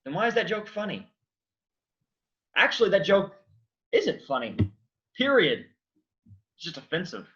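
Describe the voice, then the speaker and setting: A man's voice: high-pitched, very animated, a little slow, long pauses, a bit bright, full character voice. A man playing an earnest, argumentative man who wants to die, in a staged reading with dull sound. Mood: anxious, irritated. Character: earnest, argumentative, despairing man set on dying.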